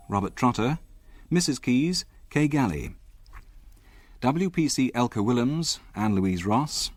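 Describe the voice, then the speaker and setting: A man reading the cast list and introduction for a radio drama in a measured, formal way. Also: medium pitch, dry recording. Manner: measured, formal announcer's delivery. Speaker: announcer.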